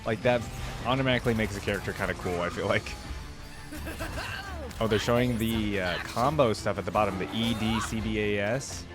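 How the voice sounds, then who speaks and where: Guy, kinda deep voice, casually reacting and thinking out loud, game music in back. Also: a little fast. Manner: musing, hedging, short interjections. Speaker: reaction video host. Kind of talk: casual off-the-cuff reaction commentary. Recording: game video music behind voice.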